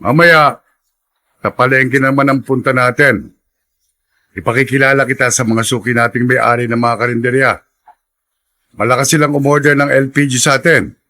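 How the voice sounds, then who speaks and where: A man's voice: medium pitch, fairly even intonation, normal speed, long pauses, pushed and bright, no room sound, lightly acted. An actor playing a kindly, middle-aged gas dealer, fatherly and encouraging, in a radio drama. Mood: warm, encouraging.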